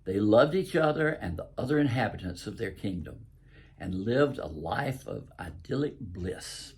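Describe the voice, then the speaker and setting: An older man from the American South with a Southern accent reads a story aloud. His voice is very husky and rough, with a gravelly creak and a lowish pitch. The delivery is folksy and deliberate, like a host sharing a fairy tale. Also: lively intonation. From southern United States. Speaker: host and author reading own stories. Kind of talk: storytelling, reading aloud from own book.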